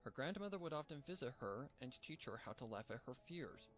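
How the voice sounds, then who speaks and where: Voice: mid-range voice, big pitch swings, a little fast. A storybook narrator reads scripted fiction in a mid-range voice. The delivery is that of a story being told aloud. Music plays behind the words.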